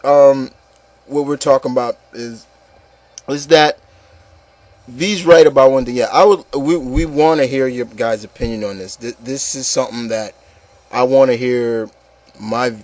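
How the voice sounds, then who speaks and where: A man's voice: medium pitch, a little mellow. A man hosting an online show, talking casually and emphatically in a group discussion, often repeating himself for stress. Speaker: host of an online opinion show.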